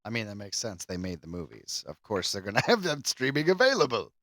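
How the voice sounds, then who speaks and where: A man's voice: lowish voice, sing-song, fairly quick, sharp tone, dry recording, clean studio sound. A man co-hosting a podcast, commenting in casual, conversational chat. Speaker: podcast co-host.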